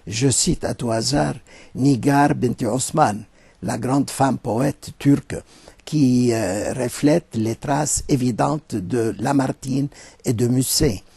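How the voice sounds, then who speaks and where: An older man speaks very slowly in a gravelly, deeply husky voice. His tone is dark and mellow. He answers interview questions formally and hesitates often as he picks his words. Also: dry recording. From Lebanon. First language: Arabic. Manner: deliberate, formal, with frequent hesitations.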